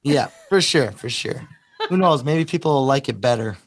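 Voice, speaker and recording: A man hosting an interview, his voice raspy as it recovers after he lost it, upbeat with short affirmations between questions. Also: medium pitch, animated, moderate pace. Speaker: host.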